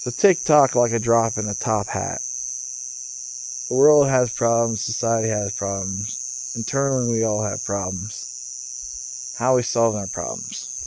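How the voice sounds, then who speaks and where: A man speaking as a vlogger in a casual, off-the-cuff spiritual monologue, musing in broken phrases with pauses. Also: fairly low pitch, vocal fry, background noise. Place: outdoors, sitting in a rocking chair. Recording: outdoor recording with chirping insects. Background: cicadas or crickets.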